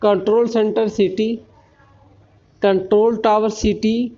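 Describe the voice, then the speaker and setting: Male lecturer, Indian accent, high voice, step-by-step explaining, frequent check-in tags, dull lo-fi sound. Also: flat delivery, slow pace, warm tone. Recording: dull lo-fi recording.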